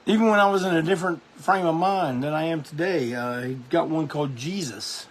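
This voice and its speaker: A man's voice: a little high, brisk. A man hosting a music show, talking casually and enthusiastically about records, rambling with lots of restarts.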